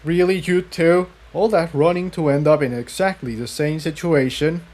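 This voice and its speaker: Man as storybook pony villain, smug, mocking, menacing, pitched up. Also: steady tone, moderate pace, darkish tone, close to an everyday voice. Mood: smug taunting.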